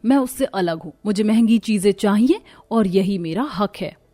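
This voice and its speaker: A woman's voice: mid-range voice, animated, moderate pace, dark tone, lightly acted. A woman voicing a boastful, greedy, spoiled young wife in a cartoon story, with a haughty, demanding manner. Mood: indignant.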